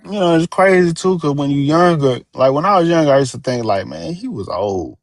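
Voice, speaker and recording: A man's voice: fairly high pitch, expressive, fairly quick. A man in his late thirties talking casually on a podcast, laid-back and slangy, telling stories with energy. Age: 30s. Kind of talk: casual chat.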